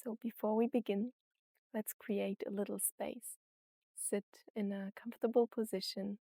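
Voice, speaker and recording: A woman narrating a guided meditation and story in an unhurried, soothing way, with long pauses between phrases. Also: medium pitch, level tone, mellow, dry recording.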